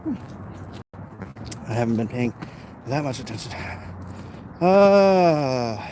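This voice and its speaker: A man's voice: medium pitch, animated, slow pace, mellow. An American man talking off the cuff in a casual, opinionated, emphatic way, like a vlogger chatting to viewers. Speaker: live-stream vlogger reading and answering viewer comments. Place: outdoors, in his backyard. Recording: noisy outdoor urban ambience, traffic sounds.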